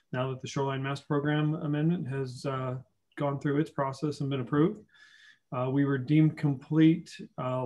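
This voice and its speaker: Brief: male city staffer, plain report-style delivery, measured. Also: fairly high pitch. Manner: steady, methodical, with many uh fillers. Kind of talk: formal project update.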